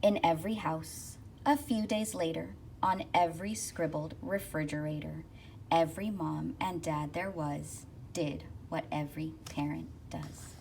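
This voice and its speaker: A woman reading a rhyming children's picture book aloud expressively, with rhythmic, deliberate phrasing. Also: sits low, steady tone, creaky, a little mellow. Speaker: school counselor reading aloud. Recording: distant mic with faint noise.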